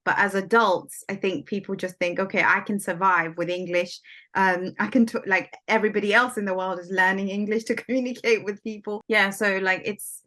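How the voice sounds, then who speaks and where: A woman's voice: mid-range voice, steady tone, brisk, fairly bright tone. A woman whose first language is Swahili, born in Somalia, talking casually as a guest with many fillers like "like" and "um". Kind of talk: casual conversation.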